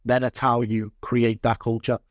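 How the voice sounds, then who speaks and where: A man's voice: medium pitch, little pitch movement, moderate pace, no room sound. A man from Liverpool speaking as an interview guest and football coach, reflective and earnest, repeating phrases for emphasis. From Liverpool, England.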